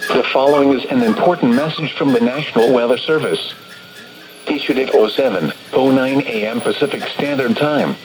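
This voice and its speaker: A synthetic male announcer reads a scripted weather bulletin in a formal, automated way. His voice sits at an average pitch. It comes over a radio broadcast with clear noise around it. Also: normal speed.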